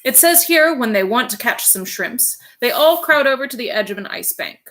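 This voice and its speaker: A woman reading aloud, voicing a shy, dreamy, bookish middle-aged man in an eager, gentle way. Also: high voice, expressive, moderate pace, pitched above the performer's own voice.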